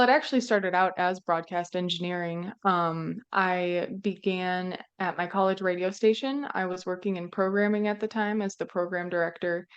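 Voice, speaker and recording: A woman's voice: lowish voice, clean tone, highs cut off. A young woman from central Minnesota, a broadcast engineer answering interview questions in a friendly way with frequent ums. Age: young adult. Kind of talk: conversational interview.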